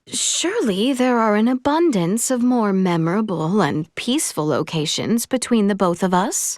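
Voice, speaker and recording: A woman's voice: high voice, no echo, studio quality. A woman voicing a spirited young princess in a video game, speaking earnestly and expressively in formal, old-fashioned courtly language. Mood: thoughtful, nostalgic. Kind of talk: video game character dialogue.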